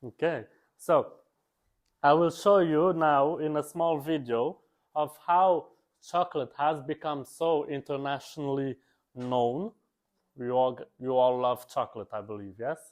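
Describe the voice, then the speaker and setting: A man's voice: on the high side, steady tone, unhurried. A young man from Portugal, teaching a class as a chef instructor and checking in with the class with a frequent "okay?". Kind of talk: live class presentation.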